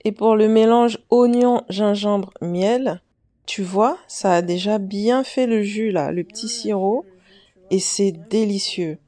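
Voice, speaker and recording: A woman's voice: mid-range voice, slow pace, warm tone. A woman vlogger casually talking the viewer through a homemade remedy, chatting to them directly like a friend. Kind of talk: casual how-to narration to camera.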